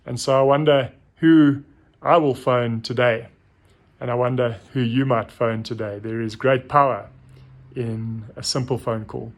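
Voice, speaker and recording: Middle-aged man, medium pitch, reflective host, informal monologue, thoughtful pauses. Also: steady tone, muffled recording. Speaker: host of a reflective story series. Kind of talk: informal spoken reflection to listeners.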